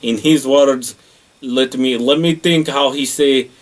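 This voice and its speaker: A man's voice: medium pitch, steady tone, slow pace, far from the mic, natural voice. A man playing a boastful, jokey Russian character in a comedy monologue, speaking broken English with a Russian accent and calling himself by name. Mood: deadpan boasting. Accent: Russian (put on). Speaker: comedic character rating things.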